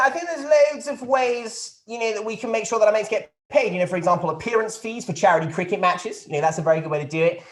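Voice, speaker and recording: A man's voice: high-pitched, natural voice. Guy doing a smug posh politician, waffly and stumbling, video call in a roomy echoey space. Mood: flippant. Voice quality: posh drawl, rambling, stumbling. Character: pompous posh male government minister, flippant. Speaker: politician taking questions. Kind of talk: satirical press conference sketch.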